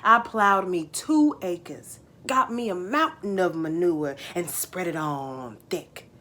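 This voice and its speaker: Woman voicing a folksy, unhurried old farmer father. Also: fairly low pitch, expressive, a bit bright, a little hiss or hum. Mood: boastful, reminiscing. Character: old farmer father, tall-tale bragging, folksy and soothing.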